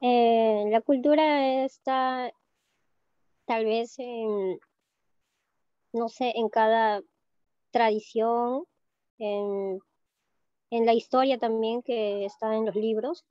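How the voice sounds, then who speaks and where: A woman's voice: on the high side, steady tone, dry recording, highs cut off. A woman student answering her teacher hesitantly during an online class. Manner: hesitant, slow. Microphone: video call.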